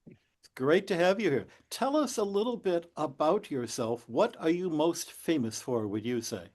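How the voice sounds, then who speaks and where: A man's voice: fairly high pitch, lively intonation, moderate pace, a little mellow. A man hosting a video-call interview, asking questions in a playful, teasing way. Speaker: host.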